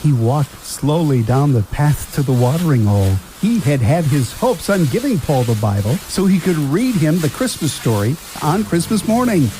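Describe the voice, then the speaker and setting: Higher-voiced male storybook narrator. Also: sing-song, normal speed, hardly any pauses, warm tone, faint music behind, low-quality recording. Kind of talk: scripted storybook narration for family radio drama.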